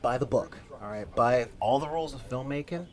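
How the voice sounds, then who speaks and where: A man's voice: mid-range voice, brisk, darkish tone. A man on a convention panel, giving strong opinions in a casual discussion and calling himself the voice of dissent. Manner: opinionated, argumentative, repeats himself. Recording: outdoor convention crowd noise. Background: crowd chatter.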